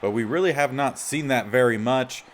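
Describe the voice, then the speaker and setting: Slightly raspy man commentating, some background noise. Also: medium pitch, moderate pace. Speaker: gaming series host. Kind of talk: casual gameplay commentary.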